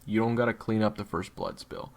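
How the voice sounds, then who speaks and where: A man's voice: lowish voice, steady tone, a little fast, creaky. A man playing a brash, crude young monster-hunting swordsman, slangy and sarcastic, in an audio drama. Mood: breezy, businesslike. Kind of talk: audio roleplay acting.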